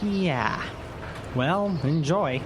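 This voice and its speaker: A breezy small-town buddy played by a man with a slightly high voice. He chats casually and chummily in a radio drama, with clear outdoor street noise around him. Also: expressive, extremely slow. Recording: noisy street ambience in audio drama.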